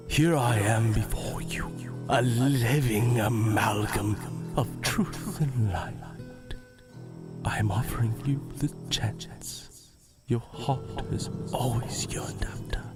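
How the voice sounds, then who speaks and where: A sinister dark spirit acted by a man offers wishes in a breathy whisper. Each phrase is drawn out extremely slowly, seductive and menacing, over clear background music in an audio drama. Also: mid-range voice, lightly acted. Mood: coaxing, seductive.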